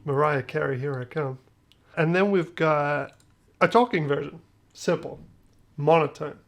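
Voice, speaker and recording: A man with a slightly high voice hosts a casual music production tutorial. He talks in a loose, slangy, joking manner and lets phrases trail off. Some music sits in the recording. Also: measured pace. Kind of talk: casual screen-share tutorial talk.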